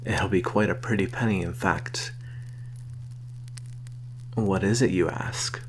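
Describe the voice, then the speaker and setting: A man playing a sly vampire posing as a traveling merchant, soft-spoken and coaxing in an ASMR roleplay. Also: low voice, slight background noise, rough recording. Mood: coy, reluctant. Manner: soft-spoken, coaxing, deliberate with sighs and soft chuckles.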